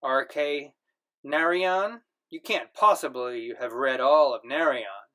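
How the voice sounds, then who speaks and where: Man voicing a gentle, evasive bookish mother. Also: medium pitch, slow pace. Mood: coaxing. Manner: gentle, deflecting.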